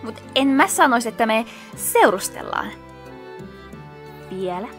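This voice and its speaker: A prim teenage fighter girl, acted by a woman for an anime parody. She has a high voice and a suspicious tone, with music playing behind her. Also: big pitch swings. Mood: defensive. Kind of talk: anime parody fan dub.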